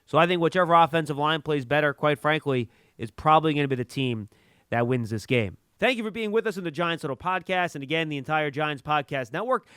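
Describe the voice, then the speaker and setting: Clear, higher-voiced man hosting sports podcast. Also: brisk, fairly bright tone, no room sound, studio quality. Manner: conversational, analytical. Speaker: podcast host. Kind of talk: sports preview commentary.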